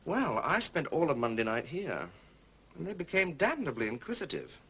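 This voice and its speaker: An actor playing a blunt, slightly uneasy gentleman witness, clipped and defensive, in a period detective drama. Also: on the high side, huge pitch swings, normal speed, a little hiss or hum, full character voice.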